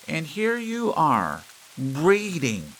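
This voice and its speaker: A man voicing a bossy, nagging middle-aged housewife who scolds and gives orders in a raised, shrill voice. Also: huge pitch swings, drawn-out words, a bit bright, a little hiss or hum, heavily acted. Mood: scolding.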